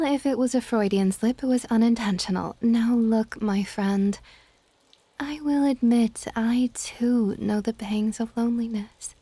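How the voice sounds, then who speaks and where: A woman playing an older dragon goddess in an ASMR roleplay, soft-spoken and formal, stately and gently exasperated. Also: on the high side, no room sound. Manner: soft-spoken, breathy asides, formal wording.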